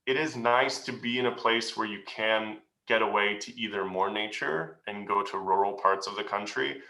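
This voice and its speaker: A man answering questions as a guest at a meeting, speaking in an earnest, reflective way with many pauses and restarts. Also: lowish voice, sharp tone. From United States. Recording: roomy echo.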